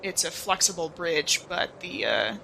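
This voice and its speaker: A woman's voice: deep voice, expressive, measured pace, creaky, sharp tone, slight background noise. A woman playing a tabletop roleplaying game, chatting casually in a playful, joking way. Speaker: player.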